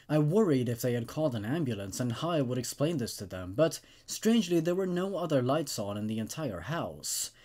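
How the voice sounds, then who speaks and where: A man reading a scripted first-person horror story in a measured, dramatic storytelling manner. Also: mid-range voice, a little mellow.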